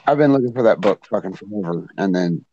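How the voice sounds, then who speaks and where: A man in casual chat about comic artists, excited and swearing freely. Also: sits low, fast, background noise. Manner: excited, profane. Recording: dull lo-fi sound.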